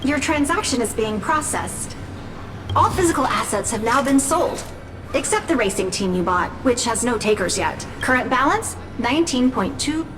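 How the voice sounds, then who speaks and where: A woman voicing a crisp, high-voiced business assistant. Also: lively intonation, background noise, natural voice. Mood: briskly reporting. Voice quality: crisp, brisk reporting delivery. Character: efficient female business assistant, eager and calculating. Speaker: assistant to the boss. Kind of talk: game cutscene voice acting. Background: game music.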